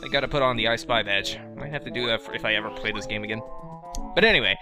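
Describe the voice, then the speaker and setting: A man's voice: medium pitch, fast. A young man casually commenting on a video game in a rambling, joking, self-mocking way, over game music. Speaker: let's play host.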